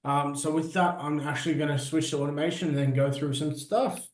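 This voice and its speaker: A man presenting an informal software demo, walking listeners through it step by step in an echoey room. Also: on the high side, steady tone, fairly quick.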